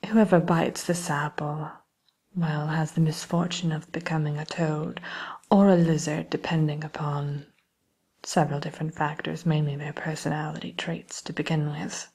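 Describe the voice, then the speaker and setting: A woman playing a sly, lonely evil queen shopkeeper in a whispered ASMR roleplay, speaking in a coy, genteel, teasing way with quiet menace. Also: deep voice, little pitch movement, normal speed. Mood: sly, proud. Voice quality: breathy, mostly whispered. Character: sly, lonely evil queen selling cursed caramel apples. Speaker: roleplay shopkeeper addressing a customer.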